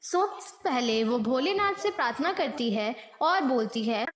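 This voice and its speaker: A woman's voice: high-pitched, lively intonation, a little slow. A woman narrating a devotional moral story from a script. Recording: echoey, roomy voice.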